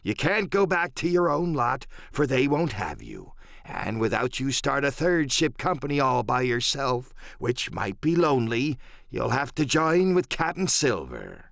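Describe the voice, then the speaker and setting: A man voicing an older pirate captain in an audiobook, sly and genial yet menacing, drawling sailor's slang in unhurried phrases. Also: mid-range voice, huge pitch swings, gravelly, a bit bright, no room sound, studio quality, full character voice. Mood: coaxing. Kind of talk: audiobook radio-play character acting.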